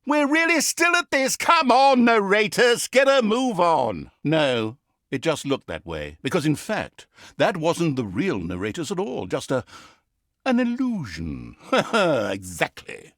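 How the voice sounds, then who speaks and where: A pompous, sardonic fantasy game narrator, smug and petty. His fairly high male voice swoops wildly up and down as he hams up the storytelling, all in a very dry, close studio sound. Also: very animated, unhurried, fairly bright tone, no echo, heavily acted. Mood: impatient, exasperated, then evasive, mocking. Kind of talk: theatrical comic storytelling narration.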